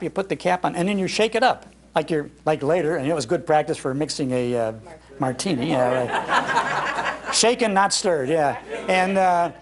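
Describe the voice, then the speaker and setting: Older American man, higher-pitched, telling stories. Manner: animated, acts out quoted lines. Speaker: speaker giving a talk on old-time radio. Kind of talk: humorous anecdotal storytelling to a live audience. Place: public library talk.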